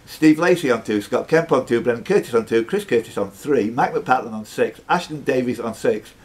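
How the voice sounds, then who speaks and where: A man's voice: mid-range voice, brisk. A man hosting a live quiz, reading out questions and scores and teasing the contestants. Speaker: quiz host and question master.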